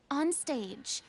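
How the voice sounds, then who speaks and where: A woman's voice: high voice, full character voice. An actress playing a wise, firm young woman in a video game, lecturing earnestly and articulately. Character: authoritative, wise young female mediator, theatrically firm. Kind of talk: scripted video game voice acting. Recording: loud music under the voice.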